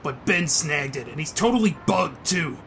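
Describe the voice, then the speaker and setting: A man's voice: on the high side, expressive, normal speed, gravelly, a bit bright, slight background noise. A man playing a brash young punk rocker in a comic book dub, blunt and slangy. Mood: agitated.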